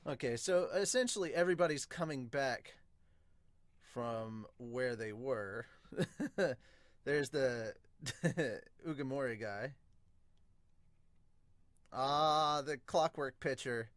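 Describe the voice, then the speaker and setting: Man in his thirties, medium pitch, casual reaction commentary, exclamatory asides, dry close room sound. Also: slow pace, pauses often, dry recording. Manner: informal, exclamatory, breaking off into asides. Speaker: manga reviewer and podcast host. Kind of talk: casual reaction commentary with improvised manga dubbing. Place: small furnished room at home.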